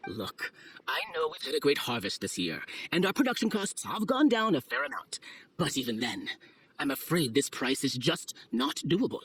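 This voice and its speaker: A man's voice: fairly high pitch, wildly swooping pitch, moderate pace, fairly bright tone, slight background noise, heavily acted. A man playing an affable but firm wine merchant and shopkeeper in a video game, with an amiable haggling manner. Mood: reluctant, considering.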